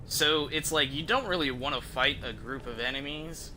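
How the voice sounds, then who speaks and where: A man's voice: fairly high pitch, moderate pace, background noise. A man giving casual, profane, slangy gameplay commentary as a game streamer. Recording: game music behind the voice. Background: video game music and sound effects.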